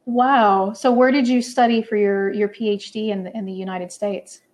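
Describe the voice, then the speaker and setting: A woman's voice: medium pitch, fairly even intonation, normal speed, a little mellow, a little hiss or hum. A woman interviewing a guest, friendly and encouraging. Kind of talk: interview questions.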